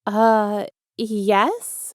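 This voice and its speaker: A woman's voice: medium pitch, very slow, no echo, studio quality, pitched above the performer's own voice. A woman voicing a startled, anxious homeless teenage boy who stammers and blurts in disbelief, in an audio story reading. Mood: confused.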